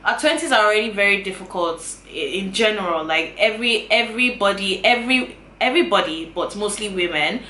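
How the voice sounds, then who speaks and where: Woman, 20s, chatty YouTuber, bright tone, roomy echo, faint room hiss. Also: medium pitch, expressive, a little fast, few pauses. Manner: chatty, repeats phrases, earnest and encouraging. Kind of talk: casual advice vlog to camera. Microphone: camera mic.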